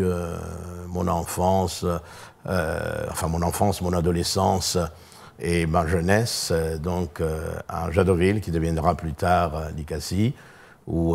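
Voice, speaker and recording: A pastor in his sixties, raised in Congo, recounts his past in a television studio. His voice sits very low. He speaks in measured steps, broken by frequent filler pauses. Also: creaky, darkish tone. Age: 60s. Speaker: pastor guest. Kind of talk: hesitant, thoughtful recounting.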